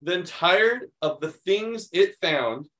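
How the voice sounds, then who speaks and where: A middle-aged American man reads a picture book aloud to young children, animated and expressive, adding chatty explanations. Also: sits high, slow, poor audio. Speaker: children's read-aloud host.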